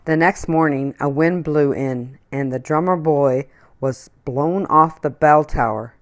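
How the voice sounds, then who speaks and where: A woman reading a children's picture book aloud in an expressive storytelling manner. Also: low-pitched, a little slow.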